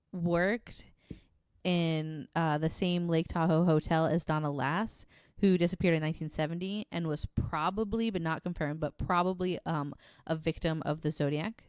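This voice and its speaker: A woman's voice: fairly low pitch, a little mellow, smooth, dry recording, clean studio sound. A woman co-hosting a podcast, telling true-crime stories in a casual, amused, informal and sweary way. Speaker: podcast co-host.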